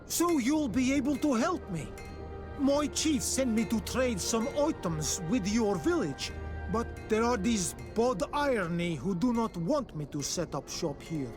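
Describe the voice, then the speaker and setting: A man's voice: very high voice, lively intonation, over music. A man playing a troubled native islander merchant in a video game, speaking in an earnest, pleading and formal way. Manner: earnest, halting, foreigner unfamiliar with local ways. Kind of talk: video game dialogue acting.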